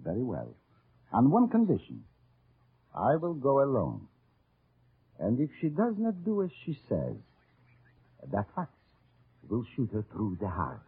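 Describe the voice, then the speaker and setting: Husky, commanding resistance leader. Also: male voice, mid-range voice, wildly swooping pitch, a little slow, pauses often, heavily acted. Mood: stern. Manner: authoritative, formal, theatrical. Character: stern French resistance leader, commanding and intense. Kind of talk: 1940s-50s radio drama acting. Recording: muffled old radio broadcast recording.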